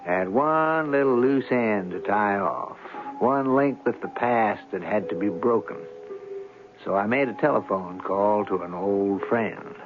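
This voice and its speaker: A man's voice: on the low side, animated, a little slow. An actor playing a breezy, self-amused man who narrates his own story in a chatty, wry way in a radio drama. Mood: wry, pleased. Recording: dull vintage radio, music behind.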